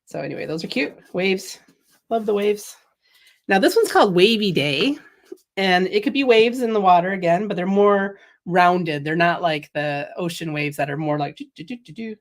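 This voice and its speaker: A woman's voice: lowish voice, normal speed. A middle-aged woman hosting a casual craft product livestream, chatty and laughing often, a little sniffly from a cold. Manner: chatty, laughs often, reads viewer comments. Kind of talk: casual product demo chat.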